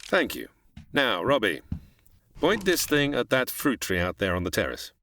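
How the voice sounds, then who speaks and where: An actor playing an authoritative, formal scientist in a retro sci-fi radio drama, speaking deliberately and commandingly. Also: male voice, medium pitch, very animated, fairly bright tone, a little hiss or hum. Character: authoritative, formal scientist host, grown man.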